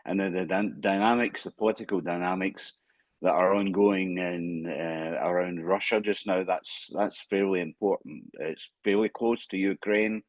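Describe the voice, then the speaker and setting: A Scottish man speaking as a panelist, pausing often with fillers and restarting his sentences. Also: deep voice, fairly even intonation, no echo. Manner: halting, frequent fillers and restarts. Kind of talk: conference panel remarks.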